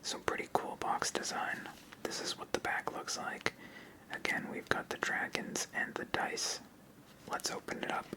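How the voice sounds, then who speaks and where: A person of unclear sex giving a whispered ASMR tutorial as host, explaining step by step in a soft, unhurried manner. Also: rough recording. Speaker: host of a card game channel.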